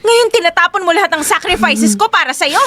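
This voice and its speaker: A woman's voice: very high voice, very animated, fairly quick, sharp tone, a little hiss or hum, full character voice. An actress playing a domineering, judgmental middle-aged mother in a Tagalog radio drama, scolding and melodramatic. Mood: wounded. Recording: faint background music.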